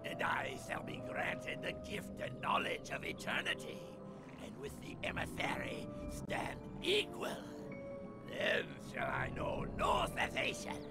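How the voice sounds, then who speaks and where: An actor voicing a fanatical old fishlike sea creature in a video game, ranting like a prophet in a gravelly voice. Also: male voice, a little high, huge pitch swings, loud music behind the voice, exaggerated voice. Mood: rapt, grandiose. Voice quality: gravelly, creaky. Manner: ranting, drawn-out prophetic proclamation. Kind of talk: theatrical video game voice acting.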